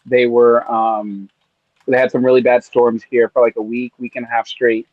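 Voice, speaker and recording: A man's voice: medium pitch, little pitch movement, moderate pace, dry recording. Laid-back rambling man, dull lo-fi sound. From California. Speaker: podcast co-host. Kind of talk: casual chat. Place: on vacation in Maui.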